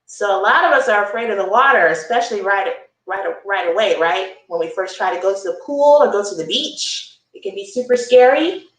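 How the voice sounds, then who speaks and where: A woman reading aloud to children at story time, encouraging, repeating key phrases slowly and adding conversational asides. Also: medium pitch, lively intonation, a bit bright. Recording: roomy echo, distant microphone.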